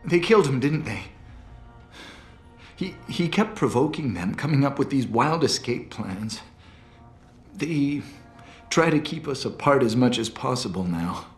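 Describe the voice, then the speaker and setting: A man's voice: medium pitch, big pitch swings, unhurried, long pauses. An actor playing an anxious, timid captive male scientist in a video game, speaking in a hesitant, faltering way. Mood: nervous, doubtful. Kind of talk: video game cutscene dialogue. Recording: roomy game cutscene with music. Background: background music.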